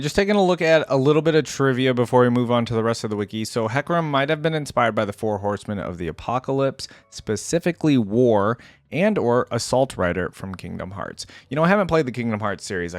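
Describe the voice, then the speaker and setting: A man's voice: mid-range voice, no room sound. Casual man with a dry, close sound. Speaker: reaction video host. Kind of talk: casual reaction commentary, sometimes reading aloud.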